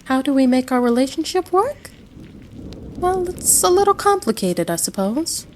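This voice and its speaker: A woman plays a caring American wife and mother in a roleplay audio drama. Her voice sits a little high and moves with very lively intonation. She is gentle and reassuring toward her guest, over faint background ambience. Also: normal speed, slight background noise. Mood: affectionate. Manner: gentle, reassuring, uses endearments.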